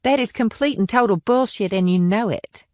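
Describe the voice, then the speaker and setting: A woman's voice: mid-range voice, moderate pace, a little mellow, dry recording, close to an everyday voice. A well-off married mother in an audiobook thriller, acted by a woman, arch and breezy with a defensive edge. The recording is dry, with hardly any room sound.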